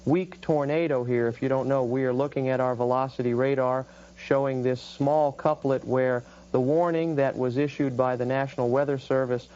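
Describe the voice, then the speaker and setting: Local station weatherman, voiced by a man: even, mellow delivery, a few hesitations, muffled lo-fi recording. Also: mid-range voice, smooth, natural voice. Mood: concerned. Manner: steady, measured forecast delivery with small hesitations. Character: calm male TV meteorologist on a local station. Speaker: weather presenter. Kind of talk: amateur broadcast role-play.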